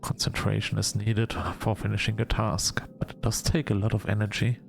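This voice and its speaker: A man reading a visual novel aloud as narration, with casual asides and some halting restarts. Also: lowish voice, completely flat delivery, creaky, faint music behind.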